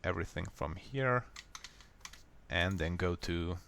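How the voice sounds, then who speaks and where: A man with a Hungarian accent, a native Hungarian speaker, calmly walking viewers step by step through a software fix in a screen recording. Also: low voice, gravelly, a little hiss or hum. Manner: unhurried, plain, step-by-step explaining. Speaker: software tutorial maker. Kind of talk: screen-recorded how-to walkthrough.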